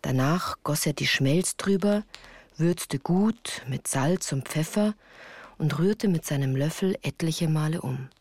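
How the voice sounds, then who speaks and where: A woman's voice: low voice, measured pace, darkish tone, husky, dry recording. A woman reading scripted literary narration as the narrator of a radio play. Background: music.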